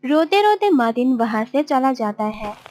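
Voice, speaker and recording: A woman's voice: high-pitched, very animated, moderate pace, darkish tone. A woman narrating a scripted children's story.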